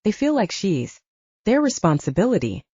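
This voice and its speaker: A woman's voice: medium pitch, very animated, normal speed, dark tone, slightly rough, no echo, lo-fi sound. A woman voicing a commanding, exasperated older brother, an alpha werewolf, with a stern, patronizing manner in an audiobook. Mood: stern, weary.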